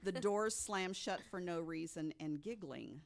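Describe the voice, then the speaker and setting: Low-voiced woman chatting casually. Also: a little slow, vocal fry. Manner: chatty, laughs easily. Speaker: podcast guest panelist telling ghost stories. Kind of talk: casual storytelling chat.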